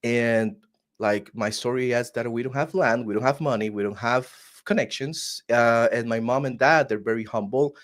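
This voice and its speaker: A man's voice: medium pitch, moderate pace. A man from Costa Rica with a Costa Rican Spanish accent, telling his story earnestly as a guest on a video call, often restarting his words. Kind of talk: casual interview storytelling.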